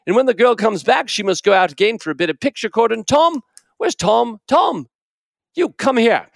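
A man's voice: very high-pitched, animated, moderate pace, creaky, no echo, studio quality, voice pushed up, exaggerated voice. Man putting on a blustering British uncle. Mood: bossy. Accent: affected British. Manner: blustering, ordering everyone about. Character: fussy, bossy middle-aged man.

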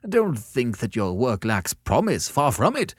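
A man's voice: mid-range voice, wildly swooping pitch, a little slow, breathy edge, no echo, clean studio sound, voice pushed up, heavily acted. A man voicing a pompous, easily offended male artist in an audiobook story, talking with gracious condescension. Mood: patronizing.

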